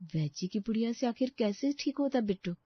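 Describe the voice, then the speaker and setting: A woman's voice: on the high side, animated, a little fast, breathy edge, dry recording, highs cut off. A woman playing a composed, practical young daughter-in-law who speaks politely to elders in a Hindi cartoon story. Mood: explaining.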